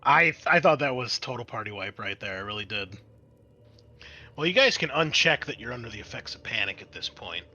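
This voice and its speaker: A man's voice: mid-range voice, lively intonation, a little fast, pushed and bright, muffled recording. A man working as a game master, narrating a tabletop role-playing game in a conversational, improvised way.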